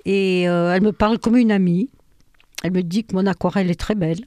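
A woman's voice: on the low side, fairly even intonation, a little fast, darkish tone, dry recording, studio quality. An older woman whose first language is Ukrainian, recounting her life as an interview guest, hesitant and full of fillers. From France. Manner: hesitant, many fillers, storytelling. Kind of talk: personal testimony in an interview.

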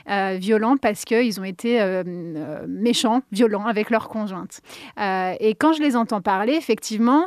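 A woman hosts a radio program from a studio, her voice sitting a little high. She talks in a chatty, partly scripted way, filling pauses with small hesitations and soft laughs. The microphone sound is close and dry. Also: dry recording. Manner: conversational, frequent hesitations, light laughs. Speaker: radio show host. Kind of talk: semi-scripted radio commentary.

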